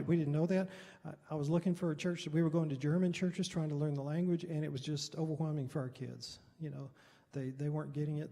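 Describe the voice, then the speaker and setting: A middle-aged American man, a pastor, telling personal stories in an informal, halting teaching style, often clearing his throat, with a husky, gravelly voice. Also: fairly high pitch, mellow. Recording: long hall reverb.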